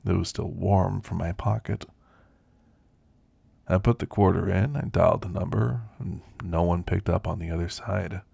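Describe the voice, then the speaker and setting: A man playing an elderly werewolf who reminisces in a folksy, gentle, unhurried way, in an ASMR roleplay with a gravelly, husky voice. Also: low-pitched, dry recording, full character voice. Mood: wistful, reflective. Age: older. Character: elderly male werewolf, reflective, gentle storyteller recounting his past.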